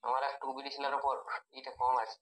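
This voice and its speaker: A man's voice: medium pitch, completely flat delivery, quick pace, slight background noise. A young man with a rural western Bengali accent, speaking in a bewildered, exclamatory, protesting way on a casual phone call. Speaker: prank call target.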